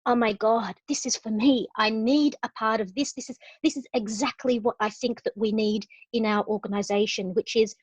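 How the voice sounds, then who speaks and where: A woman's voice: a little high, fairly even intonation, moderate pace, few pauses, breathy edge, no echo. A woman who leads a parents' organization, speaking enthusiastically and conversationally with many fillers. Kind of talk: webinar talk. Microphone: video call.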